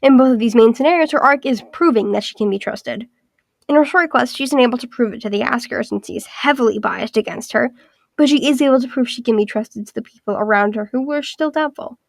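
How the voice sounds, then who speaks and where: Woman video essayist, higher voice, raspy and stuffed-up from illness, methodical scripted read, dry close sound. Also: fast, dry recording. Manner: structured, list-by-list evaluation.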